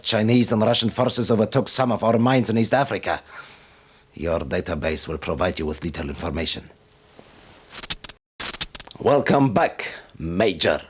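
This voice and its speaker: An actor playing a stern, devout military commander who gives solemn, formal and deliberate briefings in a video game. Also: male voice, fairly low pitch, husky. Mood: formal, approving.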